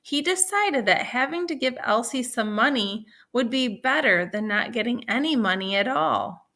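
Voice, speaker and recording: A woman teaching young children, reading a story aloud and explaining it in a deliberate, instructional way. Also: on the high side, lively intonation, pushed and bright.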